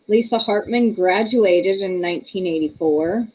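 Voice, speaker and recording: A woman's voice: medium pitch, measured pace, dark tone, breathy edge, rough recording. A woman, hosting a casual livestream, chatty and laughing often as she reads viewer comments aloud. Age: 30s. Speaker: livestream host.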